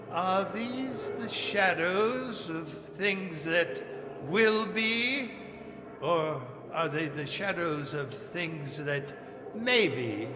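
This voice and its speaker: A man playing an old miser in a theatrical staged audio drama, with a halting, stammering, melodramatic delivery. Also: high-pitched, full character voice. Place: live stage in a library hall. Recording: echoey hall, music behind.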